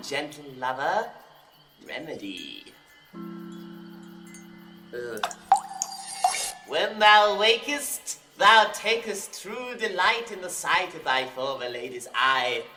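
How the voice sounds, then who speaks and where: A cackling forest sprite, teasing and gleeful in Shakespearean verse. The voice is high and bright, drawing words out slowly. It sounds roomy and set back from the mic. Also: male voice, full character voice. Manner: sing-song, cackling, teasing. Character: mischievous male forest sprite, taunting and gleeful. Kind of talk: Shakespearean audio drama. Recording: roomy, distant, faint noise.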